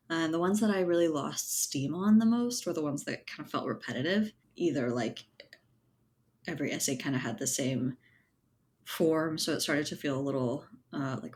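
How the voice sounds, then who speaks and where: A woman with a distinctly low voice speaks as a guest in a casual interview. She explains her thinking thoughtfully, sprinkling in "you know" and "like" as she works through each point. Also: a little hiss or hum. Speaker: interview guest, writer and editor. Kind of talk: casual conversational interview answers.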